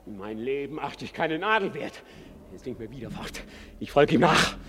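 An actor playing an anguished, headstrong young prince in a German radio play, declaiming verse fervently. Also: male voice, medium pitch, lively intonation, normal speed, fairly bright tone. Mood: defiant, frantic.

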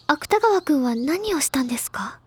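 High-pitched inquisitive schoolgirl. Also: lively intonation, moderate pace, no echo. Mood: polite, probing. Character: inquisitive, polite high school girl, quietly sleuthing. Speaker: character in audio drama. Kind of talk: Japanese audio drama acting.

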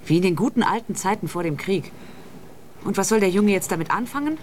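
An actress in a naturalistic radio drama playing a practical, sceptical sister with a dry, pointed manner. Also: female voice, medium pitch, lively intonation, fairly quick, darkish tone, slight background noise, natural voice. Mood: disapproving, dry.

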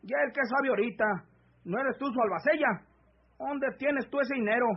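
Greedy bullying country husband, rural northern Mexican accent, very high husky voice, wheedling, old radio serial. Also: male voice, normal speed, full character voice. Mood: pushy, aggrieved. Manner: rustic, insistent, pressing speech. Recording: dull vintage AM radio drama recording.

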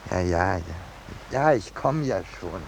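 An actor playing a polite, hesitant and peaceable painter in a 1950s German radio drama. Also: male voice, sits low, animated, a little slow, slight background noise. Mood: flustered. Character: mild, peaceable, hapless male landscape painter.

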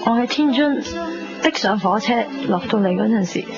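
A hurt, distrustful young woman played by an actress speaks in Hong Kong Cantonese radio-drama style. Her voice sits on the high side and comes haltingly, wistful. The sound is dull and lo-fi under loud music. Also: close to an everyday voice. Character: wounded, wary young woman confronting her suitor.

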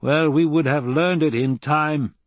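A man's voice: a little high, unhurried, no room sound, voice pushed up, lightly acted. A man voicing a proud male desert warrior in an audiobook, blunt and matter-of-fact, eager about battle. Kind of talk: audiobook character dialogue.

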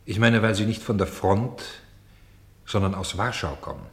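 A man's voice: lowish voice, moderate pace, reverberant, close to an everyday voice. A man playing a persistent, accusing interrogator in a German radio play, like a voice of conscience, with probing, sardonic, pointed delivery. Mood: insistent.

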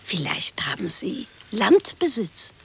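A woman's voice: medium pitch, very animated, takes it slowly, vocal fry, a bit bright, slightly rough, whispered, exaggerated voice. An actress playing a genteel, politely inquisitive woman with a mannered way of talking, in an absurd comic radio play. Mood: polite curiosity. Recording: hissy radio play recording.

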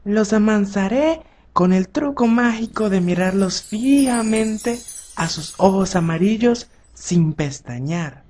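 A woman's voice: mid-range voice, lively intonation, takes it slowly, darkish tone. A woman voicing a bold, mischievous little boy in a children's storybook radio play. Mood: sly.

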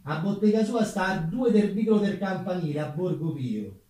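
A man's voice: high voice, lively intonation, moderate pace, warm tone. A man with a Roman accent playing a chatty, self-deprecating working-class umbrella mender of the 1840s in a theatrical monologue. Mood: wry storytelling. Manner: folksy, conversational, rhetorical asides to the audience. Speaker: stage actor. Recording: very echoey room, distant microphone.

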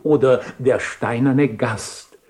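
An actor playing a worldly, eloquent bishop in a radio play, with a courtly, slightly pompous and wry manner. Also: male voice, medium pitch, fairly even intonation, takes it slowly, a little mellow, lightly acted. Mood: urbane, amused. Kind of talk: 1970 radio play acting.

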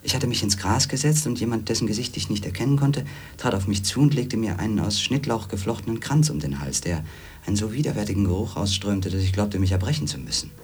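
An actor playing a brooding former editor who recounts his wanderings in measured, introspective literary narration for a radio play. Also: on the low side, animated, slight background noise, close to an everyday voice.

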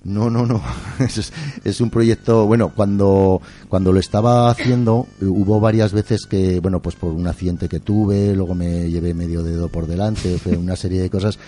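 A man's voice: sits low, steady tone, moderate pace, dark tone, slight background noise. A middle-aged man talks as an interview guest in an effusive, colloquial, rambling way. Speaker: interview guest, museum keeper and musician. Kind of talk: casual interview chat.

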